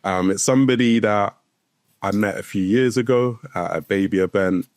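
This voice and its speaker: A man's voice: low-pitched, a little mellow, no room sound, clean studio sound. A man hosting a podcast interview, friendly and casual, calling his guest "bro". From London, England. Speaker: podcast host, counsellor.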